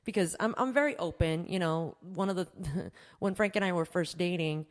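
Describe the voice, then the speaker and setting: A woman's voice: lowish voice, normal speed. A woman co-hosting a podcast, talking in a frank, breezy and informal conversational way. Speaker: podcast co-host. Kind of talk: casual conversational podcast chat. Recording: echoey hall-like reverb.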